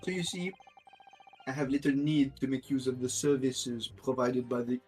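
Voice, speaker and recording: A man voicing a haughty, indignant gentleman witness in a courtroom game, speaking in pompous, formal, wordy phrases. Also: medium pitch, normal speed, soft background music, natural voice. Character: haughty, indignant gentleman witness, a well-paid communications officer. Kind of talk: fan-dub courtroom game read-aloud.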